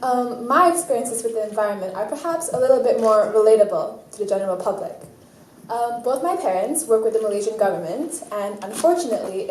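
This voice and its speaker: A woman's voice: medium pitch, mellow. A teenage girl giving a prepared student talk at a conference. She speaks conversationally, with "um"s and dry asides. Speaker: student presenter. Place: conference hall. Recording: distant mic in an echoing hall with faint noise.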